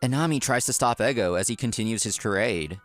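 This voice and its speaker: A man's voice: medium pitch, a little fast, a bit bright, faint music behind, lightly acted. A man playing an earnest, polite office worker who narrates his own story in a comic dub. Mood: narrating. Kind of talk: scripted first-person narration, comic dub.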